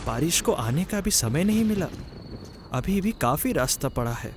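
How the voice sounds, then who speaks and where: Uneasy cartoon wayfarer, male performer: young, fretful, grumbling, somewhat high pitch, clear background noise. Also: animated, moderate pace. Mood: worried grumbling. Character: uneasy young traveller stranded as a storm nears. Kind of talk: Hindi cartoon story acting.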